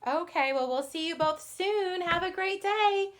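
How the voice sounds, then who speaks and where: A woman's voice: very high voice, measured pace. A grown woman hosting a children's puppet show, speaking to little kids and chatting with the puppets. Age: adult parent. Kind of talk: addressing young children, scripted puppet dialogue.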